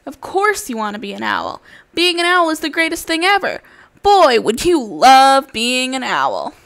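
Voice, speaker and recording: Storybook parent frog, female reader: firm, patient, teasing, emphatic, lively intonation. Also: sits high, measured pace, full character voice. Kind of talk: animated children's storybook read-aloud.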